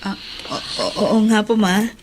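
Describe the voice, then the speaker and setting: A woman playing a timid young daughter-in-law, halting, deferential and apologetic, in a Filipino radio drama. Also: medium pitch, fairly even intonation, background noise, lightly acted.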